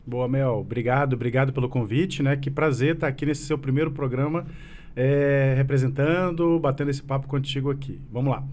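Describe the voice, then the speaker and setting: A man's voice: medium pitch, steady tone, brisk, darkish tone. A man in his thirties from Minas Gerais with a fading mineiro accent, answering interview questions earnestly and rambling with many restarts. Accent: mineiro Brazilian Portuguese, fading. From Minas Gerais, Brazil. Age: 30s. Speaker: business association president, guest. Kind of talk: casual interview conversation.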